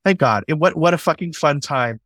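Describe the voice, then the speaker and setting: A man's voice: fairly high pitch, animated, fairly quick, fairly bright tone, no echo, clean studio sound. A man speaking in an enthusiastic, conversational way, swearing casually and often restarting his sentences. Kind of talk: conversational podcast discussion.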